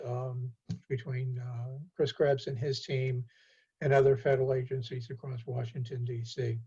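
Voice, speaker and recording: Mellow, husky-voiced male host. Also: mid-range voice. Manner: many filler pauses, steady and cordial. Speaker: host and executive director. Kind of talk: event introduction. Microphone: video call. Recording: muffled video call audio.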